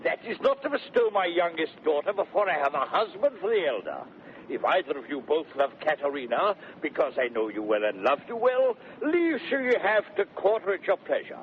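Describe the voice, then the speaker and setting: A man's voice: a little high, big pitch swings, a little fast. An actor playing a flustered, pleading old father in 1930s radio Shakespeare, with an emphatic, repetitive delivery. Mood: flustered, firm. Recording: scratchy, tinny 1930s radio broadcast.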